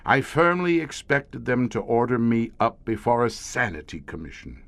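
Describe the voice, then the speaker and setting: An actor playing a grim veteran scientist who heads a secret monster-hunting squad in an audio horror drama, speaking in a deliberate, erudite, brooding storyteller's manner. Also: male voice, medium pitch, big pitch swings, vocal fry, a bit bright, no room sound. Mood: measured, confiding.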